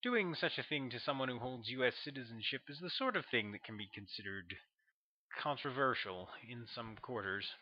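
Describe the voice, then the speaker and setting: A man reading a smooth, commanding young colonel. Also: medium pitch, expressive, normal speed, sharp tone, no room sound, muffled recording. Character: young charismatic male army colonel, smooth and ruthless.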